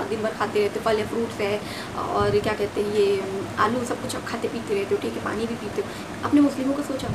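A young woman speaks in a fairly high voice, ranting emphatically to camera. She repeats herself and fires off rhetorical questions. The phone recording is clearly noisy and sounds a little distant from the mic. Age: young adult. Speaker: vlogger. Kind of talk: opinionated rant to camera.

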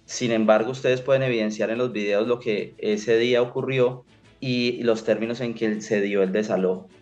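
A young man from Colombia, a lawyer, speaking in a formal, measured, careful way. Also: mid-range voice, fairly even intonation, darkish tone, lo-fi sound, faint music behind. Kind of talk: formal legal statement on a call-in interview. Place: small indoor room.

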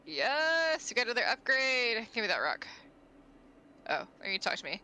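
A woman's voice: on the high side, moderate pace, slight background noise. A woman with a slight lisp on her S sounds, chatting casually and reacting playfully while she plays a game. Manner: animated, playful, shouts at the game. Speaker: vtuber playing a game. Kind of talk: casual chat while gaming.

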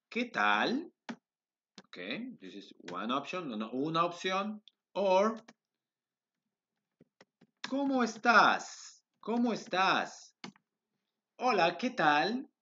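A young man teaching a Spanish lesson over a webcam, drilling phrases again and again and switching into halting English. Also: high-pitched, pushed and bright, highs cut off. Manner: slow, repetitive drilling of phrases. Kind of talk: online language lesson, mixing Spanish and English.

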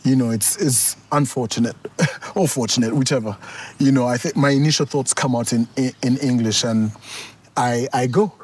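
A Ugandan man in his thirties, a musician sitting outdoors on a golf course and reflecting in a relaxed interview, with lots of restarts and chuckles. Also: mid-range voice, moderate pace, breathy edge. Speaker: musician and guest of a music talk show.

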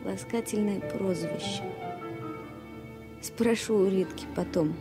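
An actress plays a young stewardess, anxious and deeply in love. Her mid-pitched voice is earnest and halting. Music runs behind her in an old radio drama. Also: lightly acted. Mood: anxious, lovestruck. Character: lovestruck anxious young stewardess, small, stubbornly devoted. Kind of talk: radio play inner monologue. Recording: music bed behind 1970 radio play.

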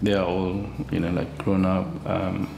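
A man in his forties from Malaysia speaks in a deep, gravelly voice that hardly rises or falls. He talks slowly and haltingly, filling gaps with pauses. The sound is roomy, with quiet guitar music underneath. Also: monotone, a little hiss or hum. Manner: hesitant, many fillers. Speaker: interviewee, father. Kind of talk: documentary interview. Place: home kitchen.

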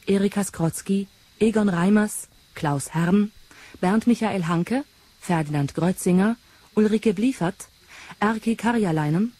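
Lady radio announcer, mellow, formal, reading closing credits, medium pitch.

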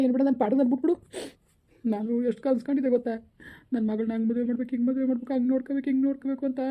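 Woman playing a fast, bitter village parent. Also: on the high side, narrow, tinny audio, lightly acted. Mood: despairing. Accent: rural southern Karnataka. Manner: lamenting, emphatic repetition. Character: bitter, heartbroken village parent disowning a daughter. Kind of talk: amateur family melodrama.

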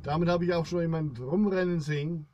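A middle-aged man rambling to his viewers in a solemn, halting monologue, recorded indoors at about arm's length. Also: a little high, steady tone, highs cut off, background noise. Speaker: blogger, solo video host.

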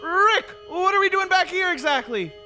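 Squeaky, anxious teenage boy. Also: lively intonation, moderate pace, over music. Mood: whiny, protesting. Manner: hesitant, stammering, pleading. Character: anxious, whiny teenage high-school boy. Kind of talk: animated comedy dialogue.

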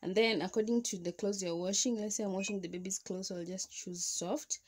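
Casual vlog read: young woman at home, mid-pitched, chatty, walks through each step and restarts as she goes. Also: steady tone, a little fast. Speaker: vlogger. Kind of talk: casual home how-to demonstration. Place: indoors at home beside a washing machine.

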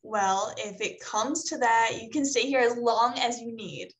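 A woman's voice: a little high, lively intonation, a little slow. A woman playing a bubbly, eager, kind neighbor in a sitcom table read, chirpy and friendly. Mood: sympathetic. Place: indoor room at home on video call. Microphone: video call.

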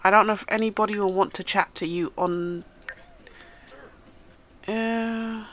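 A woman's voice: mid-range voice, steady tone, measured pace, a bit bright. A woman hosting a casual let's-play, chatting to the game characters as she plays, sounding nasal and stuffed up from a cold. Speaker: gaming channel host.

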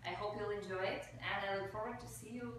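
A woman's voice: medium pitch, fairly even intonation, quick pace, fairly bright tone. Female guest, 30s, non-native English, playful livestream chat, off-mic in a very reverberant room. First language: not English. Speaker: guest pianist. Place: living room at a grand piano. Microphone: camera several feet away. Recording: very echoey, distant mic, faint noise.

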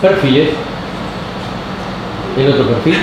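Casting director, curt one-word commands, slow delivery, echoey room with background hum. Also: medium pitch, expressive.

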